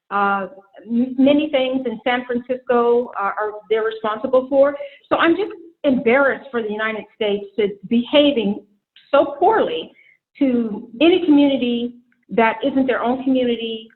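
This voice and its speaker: A woman from Seattle joining a talk panel remotely, her voice coming through thin and tinny as over a phone. She speaks earnestly and without holding back, her pitch sitting a little high. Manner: earnest, outspoken. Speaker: panel guest. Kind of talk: public affairs panel talk.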